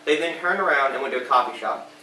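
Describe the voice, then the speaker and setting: A man's voice: mid-range voice, fast, pushed and bright. A man playing an earnest 1920s radio news anchor, reading a scripted bulletin in an amateur period skit. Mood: earnest, newsy. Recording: distant, echoey room with faint noise.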